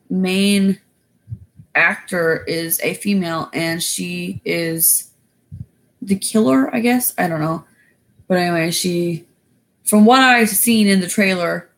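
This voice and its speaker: Raspy lady rambling on her live stream, kinda low voice, ums everywhere. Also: rough recording. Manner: rambling, loose, lots of fillers. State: winded after exercising. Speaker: YouTuber going live. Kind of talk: casual livestream chat.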